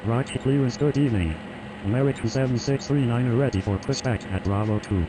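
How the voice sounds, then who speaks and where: Male airline pilot played by a man, mid-pitched, procedural radio calls, clear aircraft noise behind. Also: fairly quick, natural voice. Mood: businesslike.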